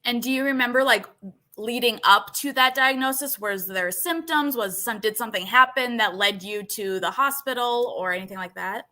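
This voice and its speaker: A woman's voice: on the high side, normal speed. A young woman hosting an interview, friendly and chatty, with many "like"s and encouraging replies. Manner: friendly, uses "like" often, warm affirmations. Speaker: interview host. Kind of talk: casual interview chat.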